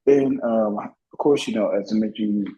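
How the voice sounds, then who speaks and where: A man from Florida with an American accent and a husky voice, hosting a conversational interview in a halting way. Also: on the low side, dark tone, dull audio. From Florida, United States. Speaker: music show host.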